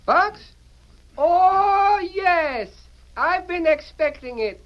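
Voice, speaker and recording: A man's voice: very high-pitched, lively intonation, extremely slow, heavily acted. An actor playing a polite, dignified little laundryman in an old radio Western, speaking formally. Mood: courteous. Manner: formal, ceremonious. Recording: dull vintage radio recording.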